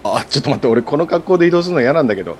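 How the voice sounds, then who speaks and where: A man acting a blunt, self-conscious ringleader of a friend group in a scripted radio drama, husky and casual. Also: medium pitch, lively intonation, racing, slight background noise, lightly acted. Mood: concerned, surprised. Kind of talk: scripted radio drama dialogue in colloquial Japanese.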